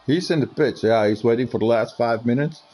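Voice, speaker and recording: Dutch-accented male co-commentator, mellow tone, halting delivery with fillers. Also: mid-range voice. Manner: hesitant, many fillers. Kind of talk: casual sim racing commentary.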